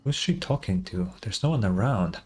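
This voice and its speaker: A man's voice: fairly low pitch, animated, moderate pace, a little mellow, breathy edge. A man streaming a game, reading its dialogue aloud and adding casual commentary.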